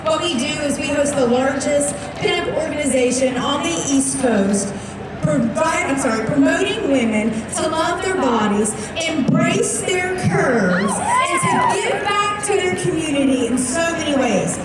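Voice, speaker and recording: A woman's voice: high voice, very animated, measured pace, heavy background noise. A woman contestant speaking on stage in a brash, peppy way. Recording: distant echoey PA, loud crowd.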